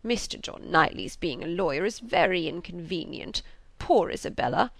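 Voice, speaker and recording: A woman voicing a gentle, fretful, doting old father in an audiobook, plaintive and hesitant, rambling along. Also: lowish voice, lively intonation, normal speed, gravelly, dry recording, exaggerated voice. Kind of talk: audiobook character reading of a classic novel.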